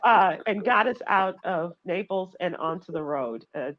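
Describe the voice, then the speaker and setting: A woman's voice: on the low side, expressive, measured pace, dull audio. A woman radio host telling travel stories in casual chat, laughing and acting out voices as she goes. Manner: chatty, laughing, acts out voices in her stories.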